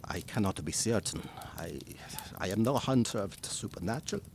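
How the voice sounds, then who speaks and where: An actor playing a learned, resolute old professor in an audio drama, lecturing in measured, emphatic fashion. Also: male voice, lowish voice, lively intonation, creaky, a little hiss or hum. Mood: sombre. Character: learned old male professor, grave, resolute vampire expert.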